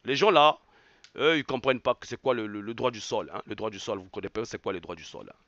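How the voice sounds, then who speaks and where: A man's voice: fairly low pitch, fast talker, fairly bright tone, dry recording, muffled recording. A man from Congo, delivering a heated evening rant to his viewers. He repeats his points angrily and slips local words into his talk. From Republic of the Congo. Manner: angry, emphatic, repeats phrases, drops Lingala words. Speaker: online commentator.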